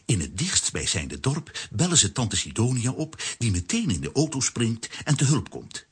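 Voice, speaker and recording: A man narrating a scripted children's story in a rough, husky voice with animated storytelling. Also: medium pitch, normal speed.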